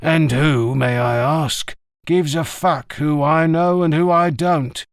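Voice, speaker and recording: A man's voice: on the high side, steady tone, takes it slowly, no echo, clean studio sound, voice pushed up. A man reading an audiobook, voicing a quarrelsome elderly greengrocer who swears and snaps back sarcastically. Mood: indignant. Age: older. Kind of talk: audiobook character voice.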